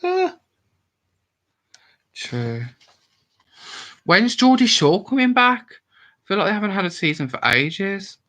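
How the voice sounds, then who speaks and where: A man's voice: high-pitched, big pitch swings, slow, pauses often, pushed and bright. A young man from Worcestershire with a West Midlands accent, drunk and rambling as he chats with viewers on a livestream. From Worcestershire, England. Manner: rambling, chatty, answers viewer comments aloud. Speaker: livestream host. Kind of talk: casual livestream chat with viewers.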